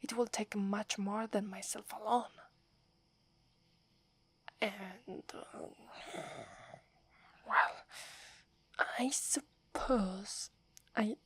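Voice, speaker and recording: Italian lady doing a snooty werewolf girl, breathy whisper, real slow, stammers when flustered. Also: mid-range voice, steady tone, a bit bright, full character voice. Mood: haughty, wary. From Italy. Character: proud wary young werewolf girl, tsundere. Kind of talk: whispered ASMR roleplay.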